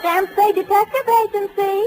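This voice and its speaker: A woman's voice: very high-pitched, steady tone, moderate pace. An actress playing a young, girlish, adoring detective's secretary in a 1940s radio comedy, eager and breathlessly naive. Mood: eager, curious. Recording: vintage 1940s radio, faint noise.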